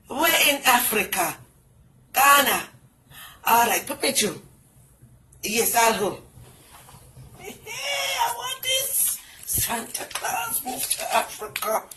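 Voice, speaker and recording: A woman's voice: fairly high pitch, sing-song, takes it slowly, long pauses, pushed and bright, slight background noise. A middle-aged woman with a British Jamaican accent, doing a bawdy comic phone call with patois turns of phrase. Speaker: comedian. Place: indoors, small room. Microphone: phone camera close up, wired earphones.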